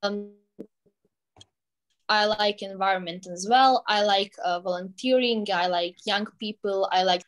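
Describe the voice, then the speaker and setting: Slow-spoken teen girl on a call. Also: medium pitch, fairly bright tone, soft background music, poor audio. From Bosnia. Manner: polite, hesitant, simple phrasing. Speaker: guest. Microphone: video call.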